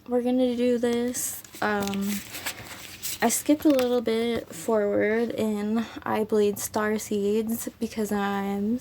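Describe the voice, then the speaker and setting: Slow, gravelly sick woman reading poetry. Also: mid-range voice. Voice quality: raspy. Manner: slow, even, unhurried delivery with frequent ums. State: sick, raspy throat. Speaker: poetry channel host. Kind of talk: casual chat and reading her own poems.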